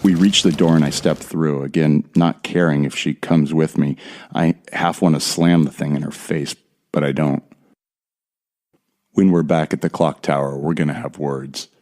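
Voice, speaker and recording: A man's voice: a bass voice, fairly even intonation, normal speed, a little mellow. An actor playing a tense, bitter man who narrates his horror ordeal in a brooding, profane first person. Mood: angry. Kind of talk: scripted audio drama narration. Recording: music behind the voice.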